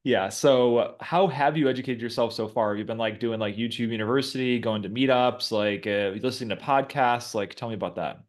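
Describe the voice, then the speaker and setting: A man in his thirties hosts a laid-back coaching conversation. He talks in a relaxed way, dropping plenty of filler words as he goes. His voice sits at an ordinary middle pitch. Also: fairly quick, hardly any pauses. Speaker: host coaching a guest. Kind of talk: casual coaching chat.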